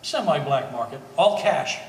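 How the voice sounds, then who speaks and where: A man's voice: mid-range voice, expressive, moderate pace, close to an everyday voice. A man playing an easygoing old family friend in a stage play, with a wry, hesitant, joking manner. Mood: explaining, casual. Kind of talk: one-act stage play performance. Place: gallery stage performance, indoors. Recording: echoey hall, distant mic, faint noise.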